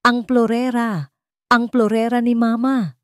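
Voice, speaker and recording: Woman acting a shrill, melodramatic scheming young woman. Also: fairly even intonation, unhurried, clean tone, no room sound, clean studio sound, natural voice. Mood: fake hysterics. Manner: melodramatic, accusing. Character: scheming young woman, shrieking fake tearful accusations.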